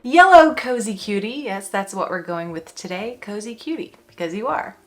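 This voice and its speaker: A woman's voice: medium pitch, lively intonation, moderate pace. Young woman, vlog host, bubbly, playful, chatty asides, upbeat talk to camera. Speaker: copywriter and brand strategist, channel host.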